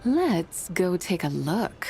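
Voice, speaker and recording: An actress voicing a gentle, enigmatic woman in a video game, pensive and halting. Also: fairly low pitch, sing-song, faint music behind, exaggerated voice.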